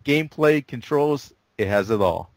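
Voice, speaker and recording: Casting note man, gravelly mid voice, eager game review chatter, dry mic. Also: medium pitch, expressive, moderate pace, darkish tone, no echo. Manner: enthusiastic, self-deprecating asides. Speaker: co-host of a game review show. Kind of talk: casual gaming discussion.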